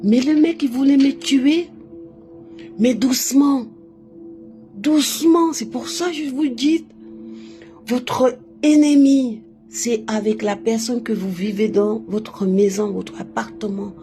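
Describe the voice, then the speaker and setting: A woman's voice: fairly high pitch, takes it slowly, warm tone, soft background music. A woman, not a native speaker of the language, talking live to her viewers in an emphatic, repetitive storytelling way. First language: not French. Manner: emphatic, keeps repeating a filler between phrases. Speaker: live stream host. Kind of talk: casual live monologue, personal storytelling.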